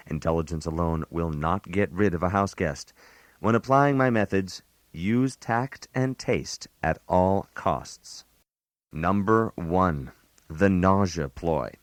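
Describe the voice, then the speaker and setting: An actor playing a put-upon island host in a scripted comic radio monologue, giving genteel advice in a precise, mock-formal manner. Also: male voice, sits low, dry recording. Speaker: narrator. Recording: analog radio aircheck.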